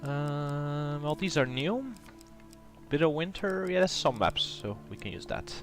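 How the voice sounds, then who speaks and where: A man's voice: a little high, animated, unhurried, soft background music. A man with a cold and a nasal voice, hosting a casual gameplay commentary and thinking aloud with plenty of hesitation. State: has a cold. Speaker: let's play host.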